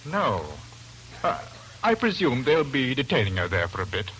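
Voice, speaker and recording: Sensible, wary husband for vintage radio drama, man acting. Matter-of-fact yet varied in pitch. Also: big pitch swings, moderate pace, natural voice. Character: dry, cautious, sensible husband. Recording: noisy old radio broadcast.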